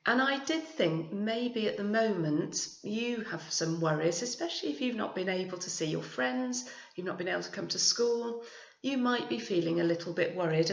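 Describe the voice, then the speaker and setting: A woman reading a picture book to young children like a teacher, expressively, with chatty asides and gentle questions to her listeners. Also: lowish voice, vocal fry. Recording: echoey room, slightly muffled sound.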